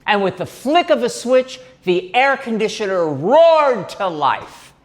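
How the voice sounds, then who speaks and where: A man's voice: high-pitched, big pitch swings, measured pace. A man performing a one-man comic storytelling show on stage. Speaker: solo performer. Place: gallery stage.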